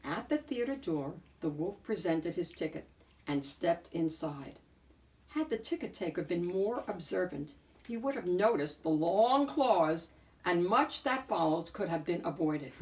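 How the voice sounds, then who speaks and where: A woman narrating a children's picture book in an expressive read-aloud style, with deliberate, dramatic emphasis. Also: on the low side, distant mic. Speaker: storyteller, picture book reader.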